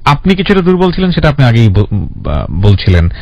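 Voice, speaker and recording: A man's voice: medium pitch, huge pitch swings, fairly quick, a little mellow, dry recording, dull audio. A male radio host interviewing a guest about her life, prompting her with questions and slipping into English phrases. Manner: prompting, mixes English phrases, frequent backchannel.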